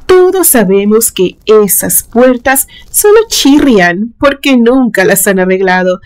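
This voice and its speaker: A woman's voice: medium pitch, lively intonation. Woman playing a mocking, mischievous young sister. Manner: mocking, theatrical, drawn-out for suspense. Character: teasing, mischievous young sister spooking her brother.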